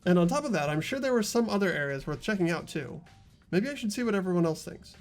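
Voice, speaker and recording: Player doing an anxious teen schoolboy detective, earnest, thinking out loud in a visual novel, faint music behind. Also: medium pitch, animated, fast, lightly acted. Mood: puzzled, earnest. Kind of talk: visual novel game narration read aloud.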